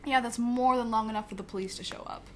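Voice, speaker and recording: A woman's voice: on the high side, fairly even intonation, fast talker, slight background noise, close to an everyday voice. A young woman playing a wary, principled reluctant villain in an audio drama, hesitant, questioning and sarcastic. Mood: suspicious, alarmed.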